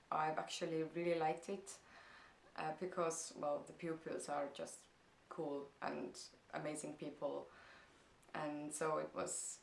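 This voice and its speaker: A woman's voice: low-pitched, creaky, reverberant. A young woman from Estonia with an Estonian accent, talking casually through viewer questions in her first vlog, with many hesitant fillers. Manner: hesitant, many "um"s, slow and thoughtful. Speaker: vlogger. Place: parents' house, indoors.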